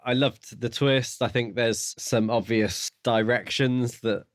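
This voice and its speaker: A man's voice: mid-range voice, fairly even intonation, takes it slowly, no room sound, studio quality. A man co-hosting a podcast in casual book discussion, tentatively thinking aloud. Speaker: podcast co-host.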